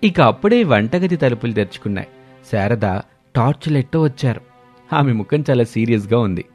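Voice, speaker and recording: A man giving scripted narration of a family story in a steady storytelling manner. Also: mid-range voice, brisk, soft background music.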